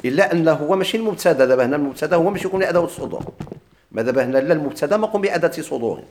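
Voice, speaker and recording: A man teaching Arabic grammar in a Moroccan accent. He quizzes his students, repeats their answers back to them, and drags out the quoted examples slowly. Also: a little high, animated, a little mellow, slight background noise. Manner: drawls quoted examples slowly, quick plain explanations. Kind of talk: classroom lesson, questioning students.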